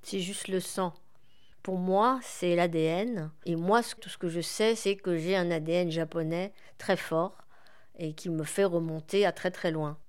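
A woman in her forties, a guest in an interview, speaking reflectively with many pauses and fillers. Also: fairly low pitch, clear, resonant tone. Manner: reflective, hesitant with frequent fillers. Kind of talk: conversational interview.

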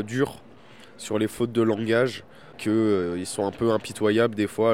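A young man with a lowish voice, the kind heard answering a campus survey in an easygoing, considered way. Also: a little mellow. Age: young adult. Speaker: interviewee, political science student. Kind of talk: casual, thoughtful answers.

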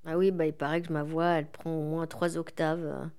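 A woman in her forties, a guest in an interview, speaking reflectively with many pauses and fillers. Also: low-pitched, flat delivery, darkish tone, clean tone. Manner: reflective, hesitant with frequent fillers. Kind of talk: conversational interview.

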